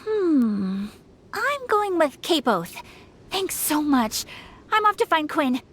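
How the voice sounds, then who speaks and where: Casting: chatty, opinionated young local in a fantasy game, high lilting voice. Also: female voice, sing-song, takes it slowly, a bit bright, exaggerated voice. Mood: breezy, dreamy. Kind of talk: video game voice acting.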